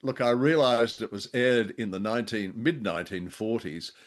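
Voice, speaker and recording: A man's voice: fairly low pitch, moderate pace. A man co-hosting a conversational discussion show, speaking hesitantly with wry humour. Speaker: podcast co-host. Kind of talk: conversational discussion of old radio drama.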